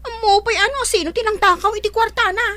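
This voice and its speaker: A woman's voice: very high voice, quick pace, pushed and bright, no room sound. A woman acting a sneaky, evasive young granddaughter in a radio comedy drama, whiny, with a Filipino accent. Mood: evasive, flustered.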